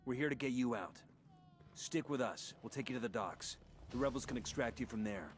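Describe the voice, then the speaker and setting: Guy playing gruff military team leader in a game, terse orders, noisy background with engines. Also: mid-range voice, fairly quick, close to an everyday voice. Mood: businesslike. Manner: terse, commanding. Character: gruff male special forces squad leader, grim and blunt. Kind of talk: video game cutscene acting.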